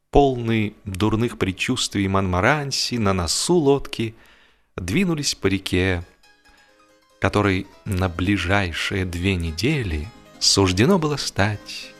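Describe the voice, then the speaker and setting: A male audiobook narrator with a fairly low voice tells a humorous novel. His delivery is expressive and literary, and some music plays behind him.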